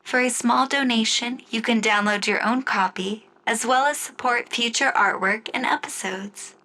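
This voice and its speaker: A woman narrating and announcing in the style of a 1940s radio drama, with measured storytelling. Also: fairly high pitch, sharp tone, rough recording. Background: music score.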